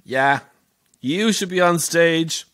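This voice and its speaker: An actor playing a haughty, jumpy older Irish pantomime star in an audio drama, theatrical and imperious. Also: male voice, fairly high pitch, slow pace, a bit bright, no echo, studio quality. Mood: wry, merry. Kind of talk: audio horror-comedy drama acting.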